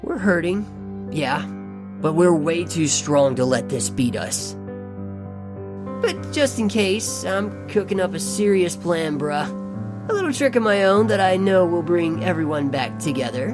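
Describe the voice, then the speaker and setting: A man's voice: high voice, expressive, unhurried. A man playing an upbeat, laid-back teenage mutant turtle in an audio comic drama, slangy and earnest. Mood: hopeful. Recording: music under the voice.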